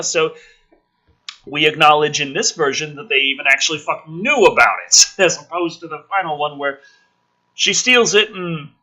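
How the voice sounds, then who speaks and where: A man reading a film script dramatically and breaking off for sarcastic, profane commentary, with a rough-edged voice. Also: a little high, bright, forceful tone, rough recording.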